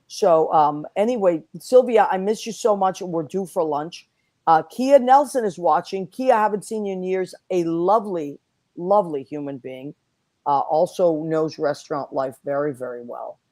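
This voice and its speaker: A woman hosting a casual online talk show, whose first language is Italian, chatting and giving friendly shout-outs with plenty of fillers. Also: lowish voice, normal speed, no room sound. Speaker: host. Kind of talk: casual chat.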